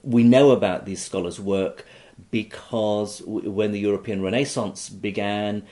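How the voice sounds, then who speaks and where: Male author guest, very low voice, discursive and full of "you know" asides. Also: fairly even intonation, a little slow, dark tone. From Iraq. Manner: explanatory, many fillers and restarts. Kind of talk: interview conversation.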